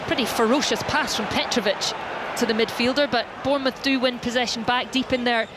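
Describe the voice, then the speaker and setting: A woman doing live football play-by-play commentary, steady and descriptive. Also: fairly high pitch, a little fast. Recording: stadium crowd and noise behind.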